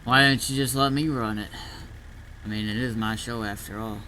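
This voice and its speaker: A man's voice: on the low side, steady tone, moderate pace, sharp tone. A man chatting casually in character during an online roleplay game. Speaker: roleplay gamer. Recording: noisy game voice chat, background hum.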